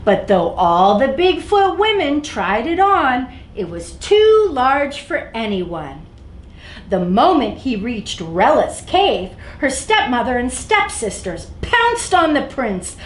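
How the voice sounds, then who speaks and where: Woman, children's storyteller, high pitch, slow delivery, expressive, direct to kids, echoey room. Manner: expressive, addresses young listeners directly. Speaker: children's librarian, story time host. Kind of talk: animated read-aloud storytelling for children. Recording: echoey room, faint background noise.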